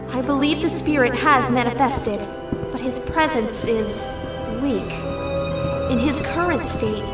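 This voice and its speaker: Female cartoon oracle played by a woman: high, solemn, measured, formal, sounding far off with music behind. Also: close to an everyday voice. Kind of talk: cartoon fan dub acting. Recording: distant echoing voice under music.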